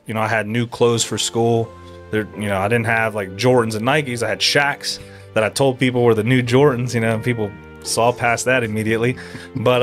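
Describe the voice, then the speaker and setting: A young man from Erie, Pennsylvania, chatting casually as a podcast guest, animated and full of dude and bro. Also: mid-range voice. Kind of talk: casual chat.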